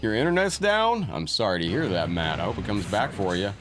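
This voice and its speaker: Man, streaming host, low voice, slightly scratchy from a cold, chatty, game music behind. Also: sing-song, brisk. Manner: chatty, shouts out viewers by name. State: getting over a cold, a little scratchy. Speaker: live-stream gaming host. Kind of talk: casual gameplay commentary, greeting chat.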